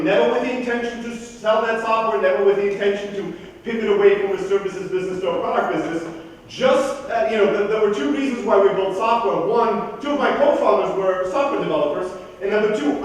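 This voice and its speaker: A man in his thirties from Greece, raised in southern Germany, giving a blunt, profane, energetic keynote talk at a conference. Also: sits high, a little hiss or hum. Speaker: conference speaker. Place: large conference hall. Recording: echoey hall from far off.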